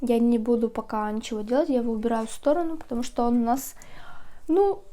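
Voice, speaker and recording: A young woman vlogging a candle-making how-to early in the morning, chatty and just woken up, with a slightly rough voice. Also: fairly high pitch, fast talker. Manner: chatty, sleepy early-morning talk with soft laughs. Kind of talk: casual how-to vlog.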